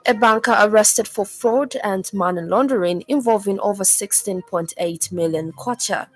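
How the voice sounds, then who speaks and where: A woman with a Zambian accent reading a scripted radio news bulletin in a formal, measured way. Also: mid-range voice, music in the background. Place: radio studio.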